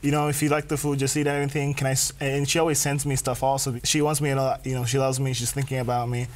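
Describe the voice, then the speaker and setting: A man's voice: medium pitch, little pitch movement, quick pace, slight background noise. A young man from Puerto Rico answering hesitantly, often repeating words. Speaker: student. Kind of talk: interview answers.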